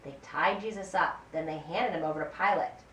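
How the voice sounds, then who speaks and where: A woman reading a scripted Bible story as narrator of a puppet show, in a deliberate, expressive way. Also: sits low. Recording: roomy, distant mic, faint background noise.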